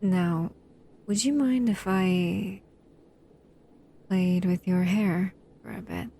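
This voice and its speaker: A woman voicing a powerful shadow monster who is possessive yet tenderly protective, speaking softly and hesitantly in an intimate roleplay addressed to the listener, often near a whisper. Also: fairly low pitch, slow pace, slight background noise. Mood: tender, soothing. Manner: soft, soothing, hesitant, often dropping into whispers.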